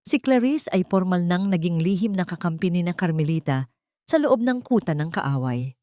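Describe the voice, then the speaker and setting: A woman's voice: lowish voice, lively intonation, moderate pace, mellow, no echo, clean studio sound. A woman narrating a scripted dramatic story as its storyteller.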